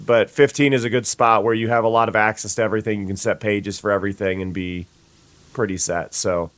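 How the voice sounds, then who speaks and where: A young adult streamer, sniffly today, chatting casually with viewers and restarting sentences often. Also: male voice, fairly low pitch, steady tone, brisk, a bit bright, dry recording. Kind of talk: casual gameplay chat.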